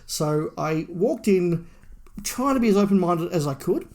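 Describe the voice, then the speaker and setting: A middle-aged man speaks with a fairly high voice and a lot of creak. He is an informal podcast co-host, talking through a review in a conversational way. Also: animated, a little slow, a little mellow. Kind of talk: casual review chat.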